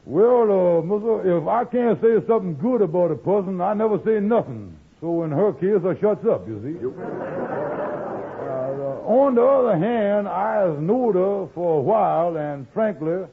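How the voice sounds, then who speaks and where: An actor playing a blustering, folksy lodge leader with rambling, repetitive dialect speech in 1940s radio comedy style. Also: male voice, high-pitched, expressive, normal speed, vocal fry, background noise. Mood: eager, smug. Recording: muffled old radio recording.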